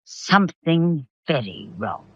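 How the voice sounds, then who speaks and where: A woman's voice: low voice, big pitch swings, slow pace, slightly rough, dull audio, full character voice. An actress playing a long-serving lady's maid in a period drama, plain-spoken, disapproving and ominous. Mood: worried, ominous. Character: grumbling, foreboding old family lady's maid. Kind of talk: period radio drama acting.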